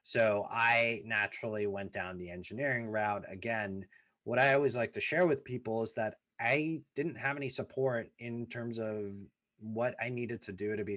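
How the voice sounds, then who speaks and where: A man's voice: fairly low pitch, fairly bright tone. A man in his thirties hosting a podcast, telling his family's immigration story in an earnest, emphatic way, stacking lists and repeating phrases for weight. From former Soviet Union, raised in the US. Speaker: podcast host. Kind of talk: personal storytelling monologue.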